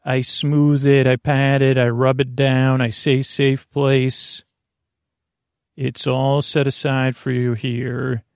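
A man hosting a sleep podcast in a creaky voice, meandering and halting through mumbled word fragments and tangents to lull listeners to sleep. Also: mid-range voice, little pitch movement, darkish tone, no room sound, studio quality. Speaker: podcast host. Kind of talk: rambling bedtime monologue meant to lull listeners to sleep.

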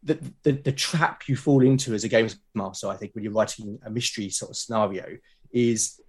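Husky British man in casual conversation. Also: fairly low pitch, darkish tone. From Britain. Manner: halting, repeats words as he explains. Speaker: podcast guest, game master and podcast creator. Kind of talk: casual conversational interview talk.

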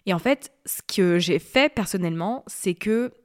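A woman's voice: mid-range voice, lively intonation, a little slow. A woman in her thirties hosting a solo podcast, talking in a chatty, confiding way that drifts off topic. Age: 30s. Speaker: podcast host. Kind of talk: casual solo podcast talk.

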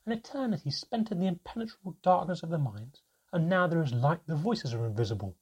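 A man's voice: a little high, very animated, normal speed, gravelly, warm tone, breathy edge, pitched above the performer's own voice, exaggerated voice. A man voicing a naive young goblin from a storybook, curious and earnest, with an eager, emotional way of speaking. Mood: exasperated.

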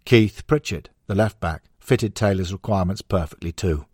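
A man's voice: sits low, normal speed, darkish tone, slightly rough, dry recording, clean studio sound. A man narrating a non-fiction sports history book in a scripted audiobook reading.

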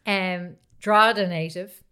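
A woman's voice: on the low side, measured pace. An Irish woman co-hosting a podcast in casual comedic banter, telling stories in an irreverent, sweary way. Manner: irreverent, sweary, animated storytelling. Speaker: podcast co-host.

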